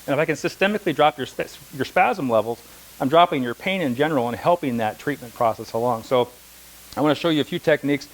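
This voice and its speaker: Middle-aged male instructor, mid-pitched, rapid, anecdote-heavy classroom talk. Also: low-quality recording. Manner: conversational, rambling with anecdotes and asides. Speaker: massage instructor. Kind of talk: classroom lecture with demonstrations. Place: classroom, speaking unamplified.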